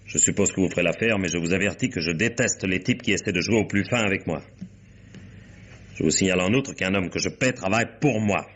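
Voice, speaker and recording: Gruff, very deep-voiced imperious boss. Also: male voice, brisk, a bit bright. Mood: irritated, domineering. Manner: brusque, domineering, blunt. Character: gruff, imperious businessman, a wronged husband. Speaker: actor in a radio mystery. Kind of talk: French detective radio drama acting.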